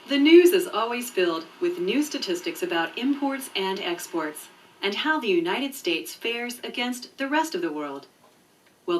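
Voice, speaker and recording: A woman narrating a scripted promotional video in a clear, measured, informative way. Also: mid-range voice, a little hiss or hum. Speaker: voice-over narrator. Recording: muffled distant VHS tape dub with music. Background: background music.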